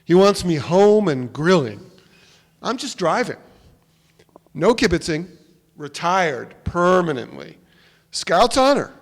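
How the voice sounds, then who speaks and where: Higher-voiced plain retired cop. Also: male voice, sing-song, slow pace, pauses often, exaggerated voice. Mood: sheepish, plain. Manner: plain, clipped, unpretentious. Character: plain-spoken, awkward retired male police detective. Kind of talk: staged play reading. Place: live on a theatre stage. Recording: reverberant theatre hall.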